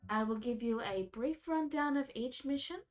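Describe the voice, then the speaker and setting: A woman voicing a weary man who speaks slowly and deliberately as he offers paid work. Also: high-pitched, faint music behind, lightly acted. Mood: businesslike.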